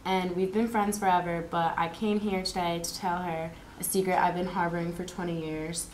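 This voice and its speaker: A woman's voice: lowish voice, flat delivery, moderate pace, natural voice. A woman playing a bitter, jealous society wife confessing in an amateur dramatization. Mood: accusing. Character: bitter, jealous society wife, long-time false friend. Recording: echoey room, faint background noise.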